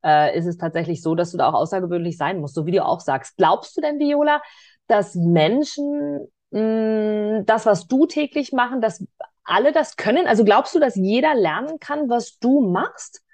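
A woman's voice: mid-range voice, expressive, normal speed, a little mellow, no room sound. A woman hosting a conversational interview in a wordy, encouraging way. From Berlin, Germany. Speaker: podcast interviewer.

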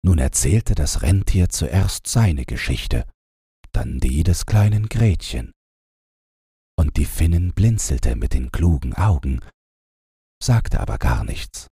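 Male audiobook narrator for bedtime fairytales: low, very raspy, slow scripted read, close dry sound. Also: sing-song, mellow, no echo.

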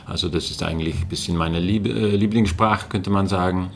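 Man, low voice, gravelly, hesitant with many fillers, faint background noise. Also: fairly even intonation, a little fast. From Netherlands. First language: Dutch. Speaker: guest, linguistics lecturer. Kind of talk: casual interview answers.

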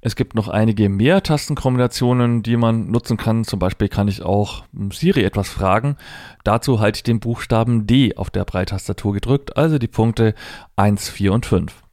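Male demo presenter, lowish pitch, dry sound, informal thinking-aloud explanations. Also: moderate pace, darkish tone, dry recording. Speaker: presenter demonstrating assistive technology. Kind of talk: hands-on product demonstration, explanatory.

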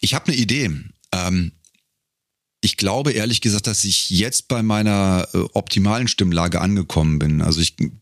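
Casting: middle-aged male bass for relaxed, thoughtful interview chat, very deep, recorded dry. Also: moderate pace, no echo. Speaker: podcast guest, bass singer and voice artist. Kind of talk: casual conversational interview.

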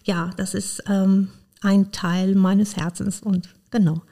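An Iranian-born woman talks in a relaxed interview. Her voice is mellow and has a gravelly edge. She stops often to search for words and fills the gaps with little sounds. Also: medium pitch, takes it slowly. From Iran. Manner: hesitant, many fillers. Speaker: podcast guest, social worker. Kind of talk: casual interview talk.

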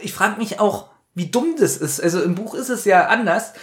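Male podcast co-host, very varied pitch, nitpicking casual delivery, echoey room. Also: lively intonation, moderate pace. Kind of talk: casual chat reviewing an audio drama. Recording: roomy echo with clear background noise.

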